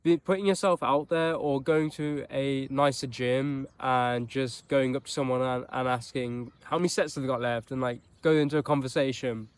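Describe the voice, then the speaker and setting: A man's voice: mid-range voice, steady tone, moderate pace, few pauses, smooth. A young man from England with a broad Birmingham accent talks casually to camera in a mid-range voice. He sounds chatty and upbeat, restarting sentences and tossing in loose asides as he goes. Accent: Birmingham English. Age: young adult. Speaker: vlogger and startup founder. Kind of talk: casual vlog talk to camera. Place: outdoors in a mild city.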